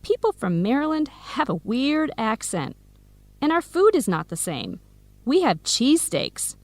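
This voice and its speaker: An actress with an American accent playing a sassy, protective best friend from Philadelphia in a radio drama, with an emphatic delivery. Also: female voice, high voice, big pitch swings, a little slow, no echo, full character voice. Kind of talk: scripted radio drama dialogue for English learners.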